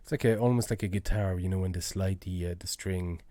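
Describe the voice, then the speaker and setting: A low-voiced man chatting casually as he works through a live music-making tutorial, halting and thinking aloud. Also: mellow, no room sound. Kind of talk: casual tutorial chat while working. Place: indoors at a computer.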